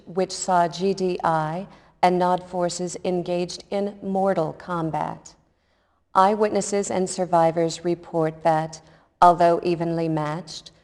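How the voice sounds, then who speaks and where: A woman playing a composed news reporter in a video game cutscene, reading a scripted report in a measured, formal, impartial way. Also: lowish voice, a little mellow, long reverb tail, natural voice.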